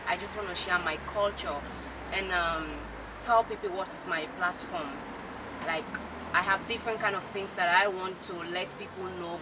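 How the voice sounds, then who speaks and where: An earnest woman in her twenties speaks effusively as a pageant contestant. Her voice sits a little low for a woman. Background noise runs steadily under her. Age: 20s. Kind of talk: spoken self-introduction.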